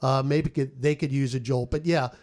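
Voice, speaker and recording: A man's voice: medium pitch, steady tone, moderate pace, darkish tone. A man speaking as a sports radio commentator in opinionated roundtable talk, stressing his points emphatically with repeated words.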